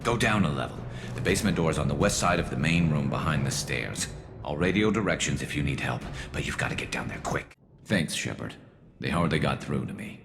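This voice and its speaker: Battle-worn alien vigilante: extremely low, gravelly, wry and loyal; video game dialogue with long reverb. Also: male voice, lively intonation, brisk, a little hiss or hum, heavily acted. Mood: urgent. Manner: dry, understated, wry. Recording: reverberant game audio under loud music.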